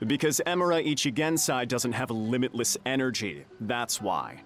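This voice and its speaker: A man's voice: medium pitch, animated, normal speed, a bit bright, faint music behind, close to an everyday voice. Brusque, dismissive townsman played by a man. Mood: smug, dismissive. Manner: brusque, smug, offhand. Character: dismissive, gruffly casual townsman turning people away. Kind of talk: video game voice acting.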